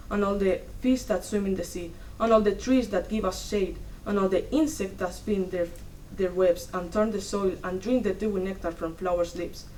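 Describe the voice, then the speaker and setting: A young woman giving a dramatic reading of a story, in measured, deliberate short phrases. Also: medium pitch, a little mellow. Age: young adult. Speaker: student performer in a dramatic reading. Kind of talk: dramatic storytelling read from a script. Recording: distant mic, noisy room.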